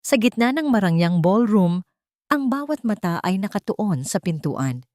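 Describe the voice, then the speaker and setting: A woman's voice: medium pitch, sing-song, a little slow, darkish tone, dry recording, clean studio sound. A woman with a Filipino accent reading a scripted dramatic story as its narrator.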